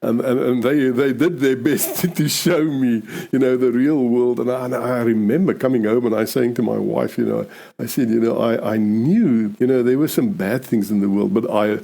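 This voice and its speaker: A man's voice: mid-range voice, gravelly, warm tone. An older man from South Africa with an Afrikaans accent, a police chaplain talking reflectively in an interview, often restarting his sentences. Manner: halting, many restarts and "you know".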